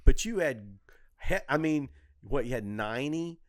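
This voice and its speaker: A man's voice: medium pitch, animated, moderate pace, no echo, studio quality. An older man in a casual podcast conversation, hosting and telling stories in a rambling, repetitive way. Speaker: comedy podcast host and club owner.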